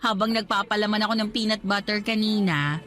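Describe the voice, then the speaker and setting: A woman's voice: mid-range voice, brisk, fairly bright tone, lightly acted. Actress as coy, teasing Filipino young woman. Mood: playful, nostalgic. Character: teasing, guarded young woman reunited with old sweetheart. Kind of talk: Tagalog radio drama dialogue. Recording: faint music bed.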